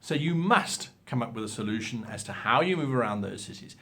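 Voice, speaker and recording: A man presents instructional advice as a show host, speaking straight to his viewers. His delivery is theatrical and emphatic, and his voice sits at a medium pitch for a man. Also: lively intonation, brisk, fairly bright tone. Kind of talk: instructional talk to viewers with acted example lines.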